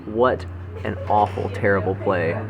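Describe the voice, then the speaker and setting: A man's voice: lowish voice, steady tone, a little slow, creaky, over music. A young man on a comic podcast panel, talking in a mock-pompous way. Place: sushi restaurant. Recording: busy restaurant chatter behind.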